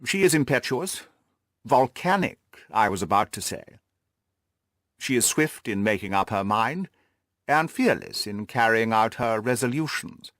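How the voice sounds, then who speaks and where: A man playing a haughty, reserved aristocratic lord with a pompous, measured way of talking in an audiobook. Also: mid-range voice, animated, fairly bright tone, no room sound, studio quality. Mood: proud, deliberate. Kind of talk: audiobook character dialogue.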